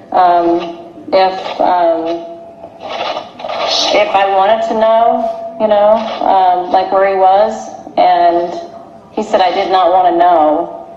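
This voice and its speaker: A woman speaking hesitantly in a serious private conversation on a recorded phone call. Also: medium pitch. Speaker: phone caller questioning a friend. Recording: muffled, echoey, noisy recorded phone call.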